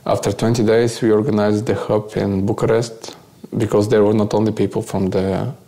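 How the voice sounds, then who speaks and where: A man with a Bulgarian accent tells his own story in an interview. His voice is low, dark and creaky, and he searches for words as he goes. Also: flat delivery, normal speed. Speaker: interview guest, volunteer. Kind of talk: conversational first-person account.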